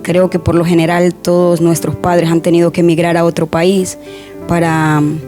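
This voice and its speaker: Soft-spoken young woman. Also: fairly low pitch, flat delivery, normal speed, soft background music. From Honduras. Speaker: guest. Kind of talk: personal testimony interview.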